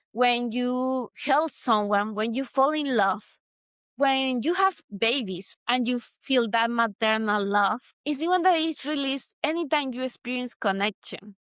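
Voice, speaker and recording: Woman with Venezuelan accent, earnest motivational host, fairly high voice, dry close sound. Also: a little slow, a bit bright, clear, resonant tone, no room sound. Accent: Venezuelan Spanish. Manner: earnest, reflective, repeats points for emphasis. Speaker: podcast host. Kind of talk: solo motivational talk.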